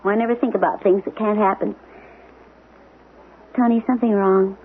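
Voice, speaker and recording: An actress playing a sharp, teasing wife who keeps a close, quick eye on her husband's moods. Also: mid-range voice, steady tone, husky, close to an everyday voice. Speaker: supporting lead. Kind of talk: 1970s radio drama acting. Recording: tinny lo-fi old radio broadcast with faint hiss.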